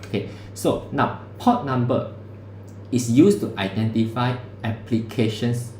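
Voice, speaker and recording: A man's voice: mid-range voice, animated, a little slow, darkish tone. A male lecturer, teaching a class over a livestream in a casual, chatty way. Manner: casual, conversational teaching with local particles. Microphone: streaming setup mic. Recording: echoey room, faint background hum.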